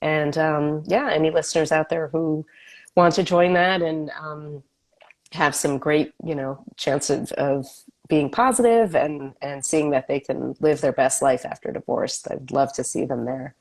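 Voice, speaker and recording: A woman's voice: sits low, fairly even intonation, unhurried, darkish tone. A woman who is a health coach, a guest on an interview show, talking in an upbeat way while sniffling from a cold. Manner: upbeat, promotional. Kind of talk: casual interview chat.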